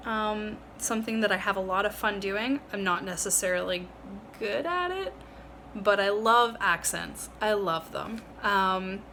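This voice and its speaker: A woman with a Canadian accent chats straight to the camera, wandering from thought to thought with frequent ums and restarts. Her voice has a bright, forward tone, and a faint hiss sits under the recording. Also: mid-range voice. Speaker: vlogger. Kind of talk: casual chat to camera.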